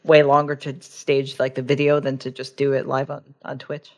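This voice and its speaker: A woman with a low voice co-hosting a stream, reading out comments from the chat. She is relaxed and often trails off while she hunts for the right word. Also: moderate pace. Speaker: stream co-host.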